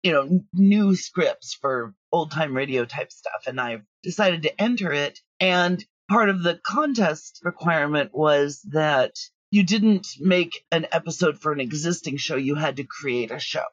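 A woman's voice: low-pitched, expressive, measured pace, dry recording, highs cut off. A woman talking casually as an interview guest, recorded remotely from home. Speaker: audio drama writer and producer, interview guest.

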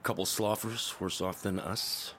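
An actor playing a weary, determined cybernetic veteran soldier in an audio drama, speaking tersely with a gravelly, husky voice. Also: male voice, steady tone, a little slow, fairly bright tone, slight background noise. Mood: grim, resolute. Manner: terse, low-key military delivery.

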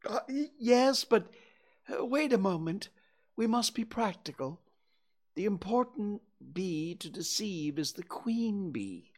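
A storyteller voicing a gentle, slow-witted male toy bear who talks slowly and stammers. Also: mid-range voice, lively intonation, pitched above the performer's own voice. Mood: anxious. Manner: slow, ponderous, hesitant with stammers. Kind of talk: storybook reading.

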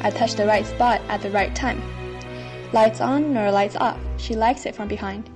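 A woman's voice: mid-range voice, steady tone, moderate pace, a little mellow. A woman co-hosting a podcast, doing a dramatic spoken reading of rap lyrics. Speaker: podcast co-host. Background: faint music.

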